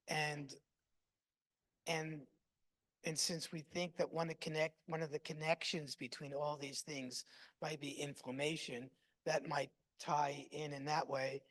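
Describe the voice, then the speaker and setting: A man with a somewhat raised pitch talks his way through a clinical discussion. He rambles and restarts often. He keeps checking in with his listeners as he thinks aloud. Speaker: psychiatrist in case discussion. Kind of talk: academic case discussion.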